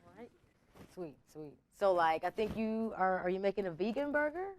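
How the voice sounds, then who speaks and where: A woman's voice: mid-range voice, expressive, moderate pace, a little mellow, a little hiss or hum. A woman of thirty-five from Louisiana, a chef chatting casually as a contestant. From Louisiana, USA. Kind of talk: casual chat.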